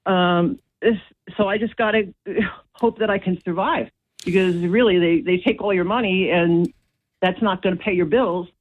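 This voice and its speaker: A woman's voice: lowish voice, steady tone, moderate pace, no echo. Woman calling in, muffled phone line. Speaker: radio phone-in caller. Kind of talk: unscripted personal account. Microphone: telephone. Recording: muffled phone call on radio.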